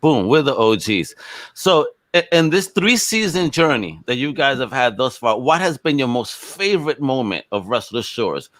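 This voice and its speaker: A man's voice: medium pitch, sing-song, normal speed, a bit bright, dry recording. A man hosting a podcast in a casual, enthusiastic, slangy chat style. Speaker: podcast host.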